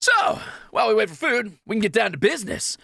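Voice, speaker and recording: Guy doing a cocky thief for fantasy roleplay audio, bright crackly voice, super dry close sound, snarky. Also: high voice, fast talker, gravelly, no room sound, heavily acted. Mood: upbeat, bossy. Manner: sarcastic, animated, swaggering banter. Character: cocky, greedy, teasing male thief adventurer.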